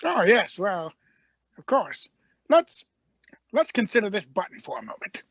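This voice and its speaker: A whimsical, eccentric doctor acted by a woman in a radio play, musing and evasive with halting phrases. The voice is husky and recorded dry and close. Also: medium pitch, sing-song, no room sound. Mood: thoughtful. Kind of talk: live script reading, radio play.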